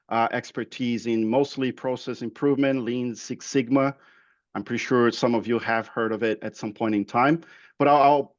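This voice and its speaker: Man, Brazilian accent, thirties, medium pitch, halting informal self-introduction, slightly lo-fi sound. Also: dry recording. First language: Portuguese. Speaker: guest expert, process improvement professional.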